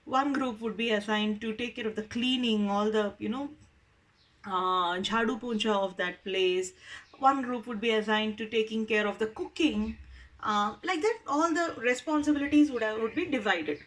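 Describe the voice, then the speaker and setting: A woman with an Indian accent telling a story informally, in a conversational, explanatory way with expressive emphasis. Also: on the high side, normal speed, distant mic, low-quality recording.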